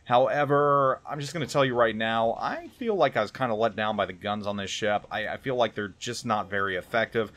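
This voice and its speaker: A man's voice: fairly low pitch, fairly quick, hardly any pauses, fairly bright tone. Rambling man reviewing a game. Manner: rambling, hesitant, repeats words. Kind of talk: casual gameplay commentary.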